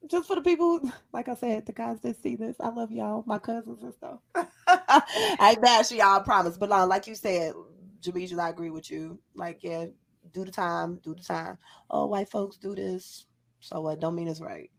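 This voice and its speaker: A woman's voice: medium pitch, moderate pace, creaky, darkish tone. A woman with an American accent chatting as a podcast co-host, speaking emphatically and straight to the listeners. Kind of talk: casual chat.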